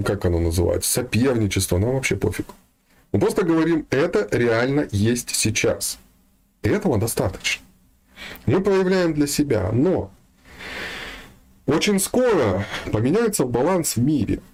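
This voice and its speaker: A man's voice: mid-range voice, a little mellow. A man giving an informal spiritual talk, speaking colloquially with some slang and addressing his listeners directly.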